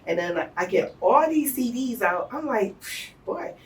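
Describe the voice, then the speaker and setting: An American woman telling stories in a casual interview, talking fast with slang and laughing often. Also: on the high side, animated, distant mic, a little hiss or hum. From United States. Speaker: interview guest.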